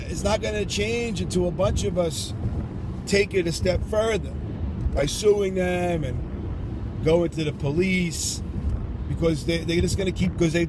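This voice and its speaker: A man's voice: high-pitched, noisy background. A man giving child support advice in a casual, rambling monologue, combative in tone and full of "you know". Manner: combative, repeats himself, heavy with "you know".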